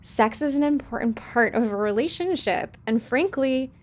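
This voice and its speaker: A woman's voice: high voice, animated. A woman playing a blunt, unenthusiastic young woman in a comedic audio drama. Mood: blunt, hesitant. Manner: blunt, unhurried.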